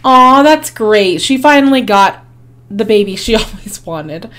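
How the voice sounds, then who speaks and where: A woman hosting a life-sim game video, her voice hoarse and fading, chatting casually with frequent ums and small laughs. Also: medium pitch, animated, unhurried, a bit bright. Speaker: gaming channel host. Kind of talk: casual gameplay commentary.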